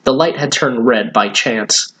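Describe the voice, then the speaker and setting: A man's voice: medium pitch, unhurried, bright, forceful tone, low-quality recording. A man hosting story time and reading a children's book aloud. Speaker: children's story reader, host.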